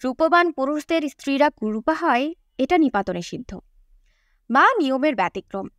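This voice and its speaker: A woman narrates a novel in a high voice with very lively intonation. The reading is scripted audiobook storytelling, captured dry and close to the mic. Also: normal speed, dry recording.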